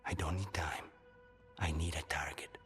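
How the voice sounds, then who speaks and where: An actor playing a grim, guarded assassin in a video game, terse, restrained and weary. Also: male voice, extremely low pitch, steady tone, normal speed, fairly bright tone, long reverb tail, soft background music. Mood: grim, resolute. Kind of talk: video game cutscene acting.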